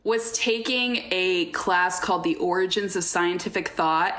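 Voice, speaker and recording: A woman guest-hosting a podcast, chatting casually in an animated, sarcastic way, recorded in a roomy space. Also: on the low side, unhurried. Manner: animated, sarcastic, uses vivid comparisons. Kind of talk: casual chat. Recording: roomy, echoey sound.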